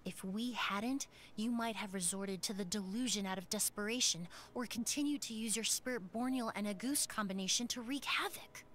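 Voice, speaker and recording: An actress voicing a calm, composed young woman perfumer who lays out the case in a video game, over game music. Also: medium pitch, moderate pace, hardly any pauses, slight background noise, lightly acted.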